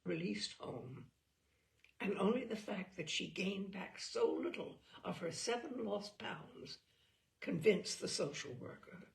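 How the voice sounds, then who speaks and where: A woman reading a short story aloud in an expressive, measured, dramatic storytelling manner. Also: medium pitch, creaky. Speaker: reader of short fiction. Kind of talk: expressive literary narration read aloud.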